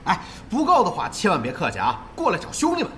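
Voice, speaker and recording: Casting: sneering young-rival type for radio drama, very high, wildly animated, mockingly theatrical. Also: male voice, fast talker, a little hiss or hum, exaggerated voice. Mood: mock-friendly, sneering. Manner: theatrical sarcasm, mocking and taunting. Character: spiteful, sneering male esports player, resentful former subordinate. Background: background music.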